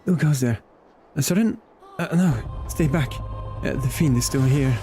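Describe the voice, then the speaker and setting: A man's voice: medium pitch, unhurried, warm tone, lightly acted. A man reading game dialogue as a flustered, grateful young lord, formal and earnest, with music behind him.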